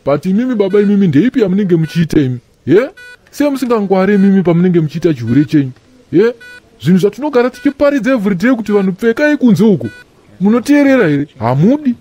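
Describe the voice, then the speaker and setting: A man's voice: high voice, very animated, fast talker, mellow, faint music behind. A Zimbabwean man playing a preachy, indignant church elder and fellow patient in a comedy cartoon, with a sanctimonious manner. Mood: scolding. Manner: sanctimonious, sermonizing. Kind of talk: animated comedy dialogue.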